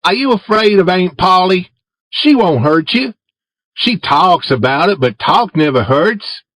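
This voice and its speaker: A man's voice: sits high, animated, unhurried, fairly bright tone, no echo, voice pushed up, full character voice. A man voicing Tom, a sly coaxing boy.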